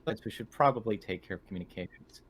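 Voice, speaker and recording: A man voicing a naive, obliging android with a deadpan, overly literal and formal way of talking in a tabletop roleplay. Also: on the low side, fast, slight background noise, close to an everyday voice.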